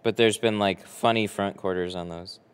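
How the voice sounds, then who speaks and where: Low, smooth American man in a reverberant hall. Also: steady tone, moderate pace. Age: early 30s. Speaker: livestream host, window tinter. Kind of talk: casual livestream chat, answering viewers. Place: large garage workshop with a boat. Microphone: close microphone on boom arm.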